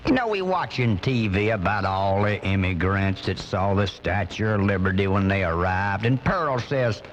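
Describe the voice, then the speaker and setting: A man's voice: lowish voice, expressive, normal speed, slight background noise, close to an everyday voice. A man playing an angry, blustering redneck commentator in a comic radio monologue, ranting in folksy, ungrammatical indignation. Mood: exasperated, ranting. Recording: muffled off-air cassette radio recording, music.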